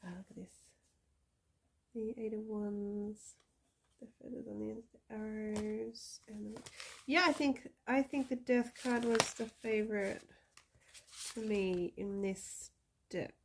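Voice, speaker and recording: A woman in her thirties, slightly hoarse from a cold, chatting casually with many ums as she shows her tarot decks. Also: mid-range voice, steady tone, slow pace, long pauses, a little hiss or hum, rough recording. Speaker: psychic reader showing tarot decks. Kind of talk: casual show-and-tell chat.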